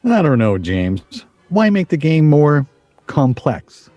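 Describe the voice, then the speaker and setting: Guy acting a plain stubborn american man almost fifty, radio play, mellow voice, dry sound. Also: a little high, sing-song, slow pace, no room sound, dull audio, faint music behind. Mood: stubborn, mild. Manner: plain, matter-of-fact.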